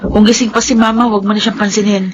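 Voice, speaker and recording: A woman's voice: medium pitch, little pitch movement, quick pace, highs cut off, noisy background, close to an everyday voice. A woman playing a curt, hard-hearted young older sister in a Filipino radio drama. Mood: cold, bossy. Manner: curt, dismissive. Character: defiant, hard-hearted grown daughter and bossy older sister.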